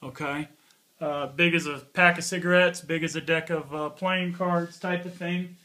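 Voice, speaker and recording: A man's voice: on the high side, fairly even intonation, normal speed, pushed and bright. A man giving an informal, offhand hands-on product review in casual everyday speech. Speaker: gear reviewer.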